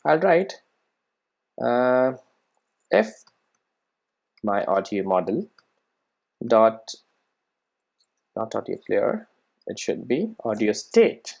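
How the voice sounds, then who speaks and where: A man giving an unscripted step-by-step software tutorial as an instructor, often checking that the listener follows with short tag questions. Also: a little high, creaky.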